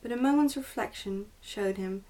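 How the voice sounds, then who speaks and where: A woman reads a storybook in a hushed ASMR manner, slipping at times toward a whisper. Her delivery is careful and deliberate, and she sounds a little way back from the microphone. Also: mid-range voice, recorded from a distance, low-quality recording. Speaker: ASMR host reading a book aloud. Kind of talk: ASMR storybook reading, soft and partly whispered.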